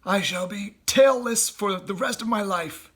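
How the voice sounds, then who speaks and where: A man's voice: high voice, measured pace, voice pushed up. A man voicing a frantic father fox. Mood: gloomy. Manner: urgent, excited exclaiming. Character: frightened, determined male fox father.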